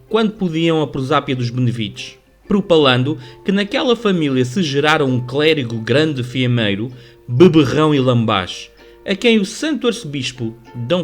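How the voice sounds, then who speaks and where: A man with a European Portuguese accent giving formal, measured, scripted literary narration for a radio drama. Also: fairly high pitch. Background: music under the voice.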